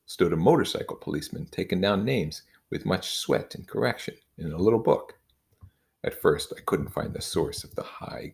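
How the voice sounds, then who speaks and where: A man reading a novel aloud in a measured, informal way, leaning on certain phrases for emphasis. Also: low-pitched, vocal fry, a little mellow. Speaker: reader.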